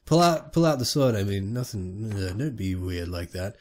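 Excitable young man swearing at a game. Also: on the low side, normal speed. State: sick. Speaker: gaming YouTuber. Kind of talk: casual let's play commentary.

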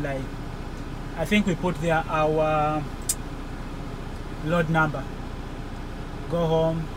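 A man in his forties, a truck driver, thinking aloud as he works through a screen in the cab of his parked truck, hesitant and halting. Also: a little high. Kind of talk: casual vlog walkthrough. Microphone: phone camera held in the cab. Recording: engine and fan noise in a truck cab.

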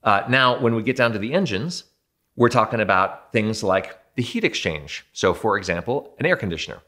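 A man's voice: fairly low pitch, lively intonation, moderate pace, pushed and bright. A man in his thirties, a building performance consultant, explaining home quality control to camera in a conversational, self-deprecating way from an indoor studio.

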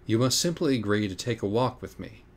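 A man's voice: lowish voice, expressive, fairly quick. A man voicing a grandiose, taunting demonic cat in an audiobook, with pompous, theatrical delivery. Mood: smug taunting.

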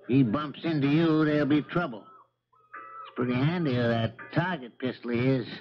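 An actor playing a gruff, folksy old charter boat captain in a 1970s radio drama. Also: male voice, mid-range voice, normal speed, soft background music, natural voice. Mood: gruff, suspicious. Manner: folksy, blunt, suspicious. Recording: dull 1970s radio recording, faint noise.

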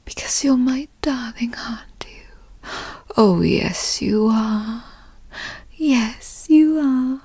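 A woman voicing a doting, possessive yandere girlfriend in a breathy whispered ASMR roleplay, coaxing and coyly controlling with formal wording. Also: fairly high pitch, extremely slow, warm tone, no echo, exaggerated voice. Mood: doting, soothing. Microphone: close microphone, dry sound.

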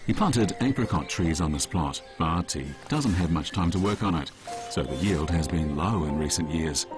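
A man reading scripted documentary narration in a measured, even way. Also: sits low. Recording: voice-over with music bed.

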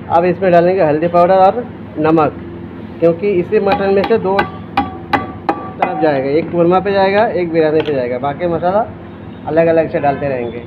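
A man presents a recipe in a casual, instructional way. His voice sits a little high for a man. It is recorded in a very noisy kitchen, with a loud roar behind him. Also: muffled recording. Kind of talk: casual cooking demonstration narration. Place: restaurant kitchen, indoors.

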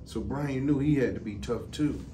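A middle-aged man reads a children's storybook aloud in a measured, deliberate way. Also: mid-range voice, dark tone. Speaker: deputy fire chief. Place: indoor office, seated. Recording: distant mic, some background noise.

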